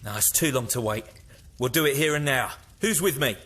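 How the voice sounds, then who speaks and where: An actor playing a grim, impulsive rebel slave in an audio drama. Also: male voice, medium pitch, expressive, a little fast, fairly bright tone. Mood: stern, accusing.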